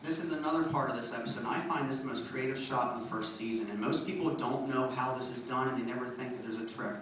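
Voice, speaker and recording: A man's voice: medium pitch, fast talker. A man giving an informal illustrated lecture as a presenter at a fan convention, recorded in an echoey room from a distance. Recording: echoey room, distant muffled mic, faint noise.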